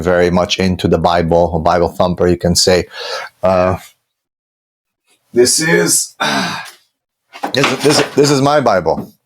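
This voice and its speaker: Guest, thirties, Romanian accent, low voice, rambling conversational storyteller. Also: male voice. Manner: conversational, with frequent fillers and restarts. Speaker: podcast guest. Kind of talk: personal storytelling.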